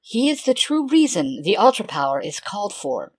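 A man voicing a remorseful female mentor figure who speaks softly and pleadingly, as if near tears. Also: high voice, big pitch swings, moderate pace, pitched above the performer's own voice, full character voice. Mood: grave. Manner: soft and pleading. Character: remorseful female mentor being, tearful and defensive.

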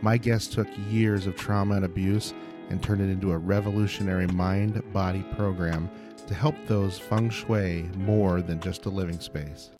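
A man hosting a podcast interview, reading a scripted opening and then chatting casually in an animated way with quick tangents. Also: sits low, soft background music. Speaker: podcast host.

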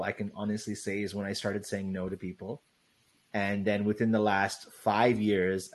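Young adult man, casual interview guest, loud voice, rambling delivery with constant fillers. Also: fairly low pitch. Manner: loose, rambling, heavy on "like" and "right?".

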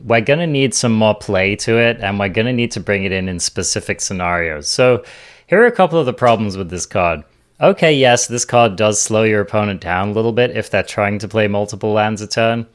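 A man's voice: lowish voice, expressive, a little fast, clear, resonant tone. A man hosting a card game discussion, giving casual, conversational commentary and explaining his takes.

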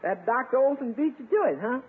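An actress playing a sassy, loyal, plain-spoken housekeeper in a 1950s radio sitcom, folksy, teasing and wisecracking. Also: female voice, sits high, expressive, fast, slightly rough, exaggerated voice. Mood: consoling, wry. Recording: tinny, faintly noisy 1950s radio recording.

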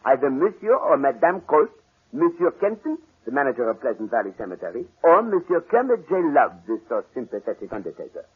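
An actor playing a courteous, self-assured detective with a French accent, speaking formally and precisely in a 1940s radio drama. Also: male voice, medium pitch, big pitch swings, a little fast, breathy edge. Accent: French-accented English. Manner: formal, precise, theatrically polite. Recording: muffled, scratchy 1940s radio recording.

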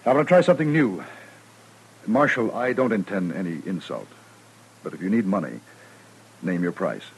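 A man's voice: sits low, lively intonation, normal speed, a little hiss or hum, low-quality recording. A man acting in a 1950s radio western as an earnest, self-assured former army officer, eager, insistent and formal in manner. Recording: vintage old-time radio recording.

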